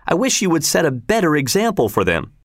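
A man's voice: a little high, wildly swooping pitch, fairly quick, fairly bright tone, no room sound, clean studio sound, voice pushed up, full character voice. A man reading a story voices a worried wife and mother who scolds and frets insistently, in a raised voice. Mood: scolding. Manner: nagging, fretful, insistent. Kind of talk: audiobook character reading.

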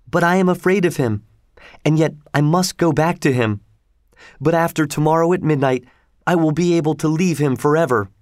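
A man's voice: on the high side, moderate pace, darkish tone, dry recording, studio quality, pitched above the performer's own voice, close to an everyday voice. A man voicing a frightened, earnest young woman opera singer in a dramatic storybook reading. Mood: fearful.

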